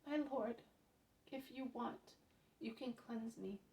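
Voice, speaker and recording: A woman's voice: fairly high pitch, takes it slowly, darkish tone, rough recording. A woman reading aloud as a desperate male leper who begs for mercy. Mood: pleading. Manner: imploring.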